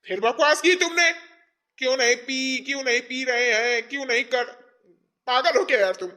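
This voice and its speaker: A man's voice: very high-pitched, a little fast, pushed and bright. A man playing a middle-aged father-in-law in a domestic skit, blunt and colloquial. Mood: irritated, scolding. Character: irritable father-in-law, gruff with wife, sweet on daughter-in-law.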